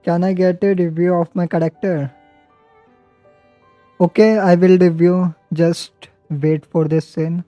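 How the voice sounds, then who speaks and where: Dark-voiced Hindi-speaking game streamer. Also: medium pitch, steady tone, unhurried, lo-fi sound. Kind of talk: casual livestream chat over gameplay. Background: game music.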